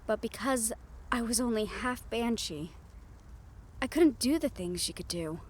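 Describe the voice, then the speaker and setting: A woman playing a gentle, wistful half-siren, half-banshee who confides softly in an intimate roleplay audio, with reflective pauses. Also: on the high side, lively intonation, breathy edge. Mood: solemn, reflective.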